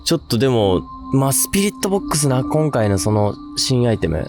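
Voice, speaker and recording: A man's voice: mid-range voice, very animated, fairly quick, over music. A man hosting a paranormal investigation on location, chatting casually and reacting to the place. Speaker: paranormal investigator and host in a video duo. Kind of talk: casual on-location ghost-hunting chat.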